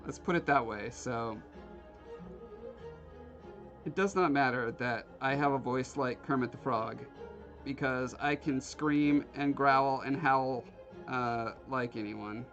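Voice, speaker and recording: A man from Alaska hosts a craft livestream, rambling and joking with his viewers while he works. His voice sits in a middle pitch. The sound is a little dull, with faint music playing underneath. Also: takes it slowly, long pauses, fairly bright tone. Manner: rambling, joking with live chat. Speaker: livestream host. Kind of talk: casual chat while carving.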